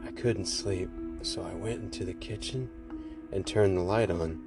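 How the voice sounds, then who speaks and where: A man hosting a podcast, reading creepy first-person stories aloud in a measured, deliberate narration. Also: sits low. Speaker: podcast host and reader. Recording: music under the voice. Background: background music.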